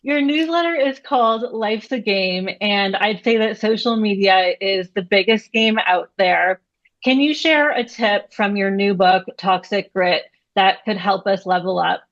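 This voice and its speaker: A woman's voice: medium pitch, unhurried, dull audio. A woman hosting an interview, asking upbeat, prepared-sounding questions. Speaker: host.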